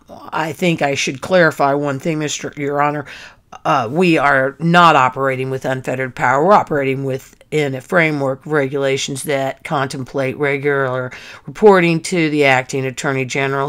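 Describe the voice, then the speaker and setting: Woman, slightly low voice, dramatic transcript reading, legal dialogue, occasional stumbles and repeats. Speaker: reader.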